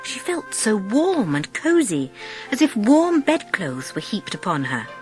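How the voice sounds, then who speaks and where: A woman narrates a dramatised story in a mid-pitched voice. Her intonation swoops expressively through carefully measured phrases, with music playing underneath. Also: wildly swooping pitch, darkish tone. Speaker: audiobook narrator.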